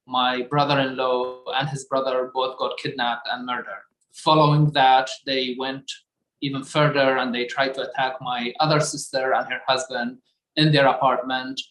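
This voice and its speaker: Guy in his 30s telling his story, pretty flat monotone, echoey room. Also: mid-range voice. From Iraq. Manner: earnest, measured recounting. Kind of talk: personal testimony. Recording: roomy echo.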